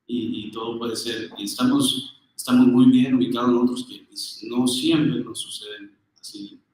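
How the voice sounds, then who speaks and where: A man's voice: mid-range voice, a little fast, a little mellow. Guy giving an earnest talk to a crowd, far from the mic, big echo. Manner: earnest, emphatic, with fillers. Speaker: official speaker at a business briefing. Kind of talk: public address switching between languages. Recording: echoey room, far from the mic.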